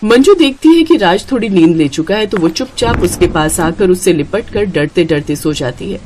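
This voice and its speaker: A woman's voice: on the low side, a little mellow, slight background noise. A woman storyteller reading a scripted narration.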